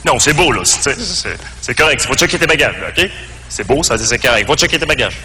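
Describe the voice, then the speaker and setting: A man's voice: mid-range voice, expressive, fairly quick, bright, forceful tone, pitched below the performer's own voice. A man playing a curt, stubborn Québécois airport customs officer who repeats himself in colloquial speech. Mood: dismissive. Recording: echoey hall, clear background noise.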